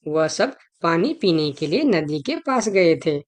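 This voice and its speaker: Woman as a worried, animated cartoon rabbit. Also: lowish voice, measured pace. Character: worried rabbit petitioning for missing companions. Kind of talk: Hindi children's cartoon dialogue.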